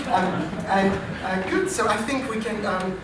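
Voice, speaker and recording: Slow-talking French-accented man, echoey and distant. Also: sits high, very noisy. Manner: dry, self-deprecating, with halting restarts. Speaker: AI researcher and improv performer. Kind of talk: live comedy stage talk. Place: live comedy meetup venue. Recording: distant, very echoey live venue.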